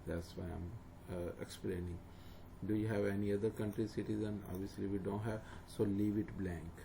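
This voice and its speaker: Low gravelly man explaining steps. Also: fairly even intonation, moderate pace, dark tone. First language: not English. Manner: plain, instructive, repeats steps with fillers. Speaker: tutor. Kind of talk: screen-recorded walkthrough. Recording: noisy home recording.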